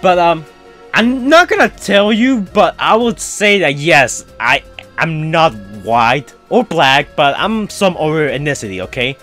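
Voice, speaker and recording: Young male YouTuber with a foreign-sounding accent, chatty and rambling, lively pitch, soft music bed. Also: measured pace. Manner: rambling, chatty, frequent fillers. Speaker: YouTuber answering fan questions. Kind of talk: casual Q&A chat.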